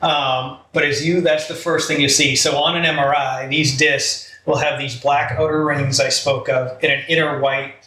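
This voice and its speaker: Bright-voiced man explaining in a roomy space. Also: a little high, level tone, moderate pace, few pauses. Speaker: medical expert guest on a health podcast. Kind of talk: conversational medical explanation. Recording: roomy echo, faint background noise.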